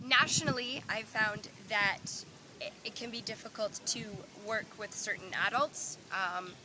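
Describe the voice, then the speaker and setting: A woman's voice: on the high side, takes it slowly. A young woman with a Canadian accent answering interview questions casually. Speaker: youth organization representative. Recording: clear ambient noise with clicks.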